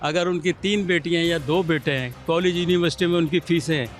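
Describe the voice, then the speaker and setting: A Pakistani-accented man with a somewhat high voice, interviewed outdoors as a member of the public. He explains his view point by point while light music plays behind. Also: fairly quick. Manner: explanatory, laying out reasons. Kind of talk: street interview opinion. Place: outdoors on a city street. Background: music over urban outdoor sound.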